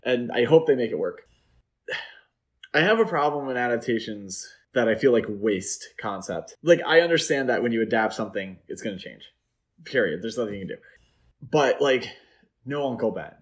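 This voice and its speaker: A man's voice: mid-range voice, expressive, moderate pace. A man hosting a podcast, chatting casually about films in a rambling, opinionated way. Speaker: podcast host. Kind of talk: casual film discussion chat.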